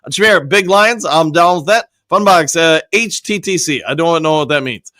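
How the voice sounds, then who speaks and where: A man's voice: fairly high pitch, normal speed, pushed and bright, no room sound. A man hosting a live stream, reading viewers' comments aloud and answering them upbeat, his voice nearly worn out. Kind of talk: casual live-stream chat.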